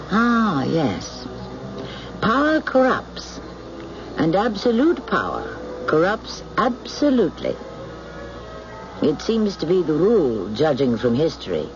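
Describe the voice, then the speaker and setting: A man narrating as host of a radio mystery series, theatrical, musing and rhetorical. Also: high voice. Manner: musing, rhetorical, deliberate phrasing. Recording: muffled old radio broadcast with music.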